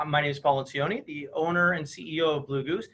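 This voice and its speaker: A man's voice: fairly high pitch, steady tone, fast, a bit bright. A man hosting a virtual tasting on a video call, introducing the guest eagerly with lots of uhs and ums. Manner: enthusiastic, filler-heavy. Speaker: store owner hosting the event. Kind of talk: casual introduction.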